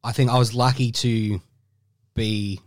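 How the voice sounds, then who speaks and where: A man chatting casually as a podcast guest, relaxed, with frequent laughs and fillers. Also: medium pitch, unhurried, no echo, clean studio sound. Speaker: guest musician.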